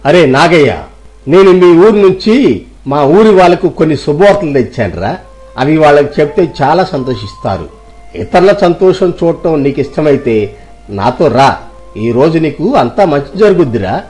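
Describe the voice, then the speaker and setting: A man's voice: a little high, animated, normal speed, darkish tone, soft background music, close to an everyday voice. A man playing a wise, kindly middle-aged village uncle in a dramatized moral story, speaking earnestly and moralizing. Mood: cheerful, encouraging.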